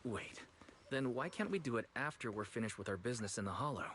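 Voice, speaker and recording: A man's voice: medium pitch, expressive, fast, noisy background, close to an everyday voice. A man voicing an easygoing, patient young man who listens like a supportive friend in a video game. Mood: gently puzzled.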